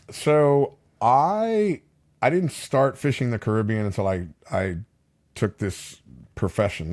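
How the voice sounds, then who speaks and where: Relaxed middle-aged man, lowish voice. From Palm Beach County, Florida. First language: Spanish. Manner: relaxed, chatty, lots of fillers and restarts. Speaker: podcast guest, fishing captain. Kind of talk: casual interview storytelling.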